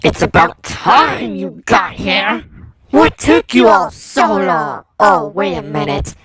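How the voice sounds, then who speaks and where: A woman's voice: medium pitch, very animated, takes it slowly, a bit bright, slightly rough, dry recording, exaggerated voice. A woman voicing a cocky, taunting chaos monster spoiling for a fight in an amateur action drama. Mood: mocking. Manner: taunting, challenging.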